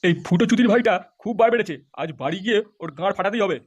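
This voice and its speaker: A man acts out a hot-tempered middle-aged village father for a cartoon comedy. His very high voice races along in grumbles, curses and threats, over clear recording noise. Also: very fast, close to an everyday voice. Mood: furious.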